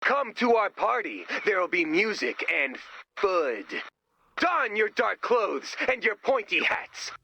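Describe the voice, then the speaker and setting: High, raspy, goofy game sidekick. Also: male voice, big pitch swings, slow, pushed and bright, heavily acted, rough recording. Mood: playful. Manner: flippant, theatrical. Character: goofy, excitable, deadpan-joking male sidekick. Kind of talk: video game voice lines.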